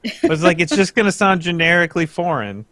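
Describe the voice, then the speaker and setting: A man's voice: high voice, very animated, brisk, a crowd in the background. A man chatting casually and telling anecdotes on a video call.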